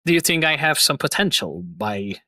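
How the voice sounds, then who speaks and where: A man in his twenties hosting a casual livestream chat, excitable and rambling, laughing often. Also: fairly high pitch, normal speed, no room sound, studio quality. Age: 20s. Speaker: host.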